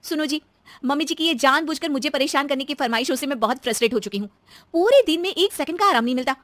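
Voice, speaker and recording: A woman acts out a young daughter-in-law from a family story. She is exasperated and dramatic, very high in pitch and swooping as she grumbles. The sound is dry. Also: lively intonation, fast talker, a bit bright, dry recording. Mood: frustrated. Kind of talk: animated story dialogue.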